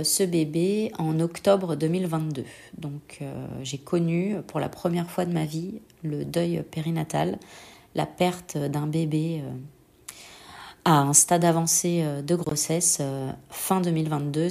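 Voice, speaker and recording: Mellow, congested young woman, low-voiced. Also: fairly even intonation, vocal fry. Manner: hesitant, reflective, pausing often. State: congested with a head cold. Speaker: bereaved mother giving a personal testimony. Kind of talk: intimate personal testimony, answering set questions.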